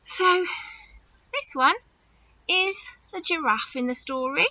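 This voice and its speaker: High, very animated woman reading to children. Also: slow pace, no echo, highs cut off. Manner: animated, exaggerated, addressing children directly with questions. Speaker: nursery teacher reading a story. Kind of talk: read-aloud storytime for young children.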